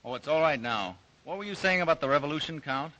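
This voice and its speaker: A curious, courteous hunter in an old melodrama. His voice sits a little high and sounds bright. He speaks plainly, with period film delivery. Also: animated, fast, rough recording. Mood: curious, polite. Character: plain-spoken, curious male big game hunter. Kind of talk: 1930s film-era drama acting.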